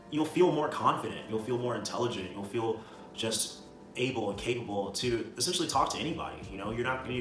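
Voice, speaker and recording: A man speaks in a roomy, echoing space, some way from the mic, with music behind him. His voice sits on the low side and moves quickly. He talks like a casual self-improvement vlogger, emphatic and slangy, circling back to drive his points home. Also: far from the mic. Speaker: video creator giving self-improvement advice. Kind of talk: casual motivational talk to camera.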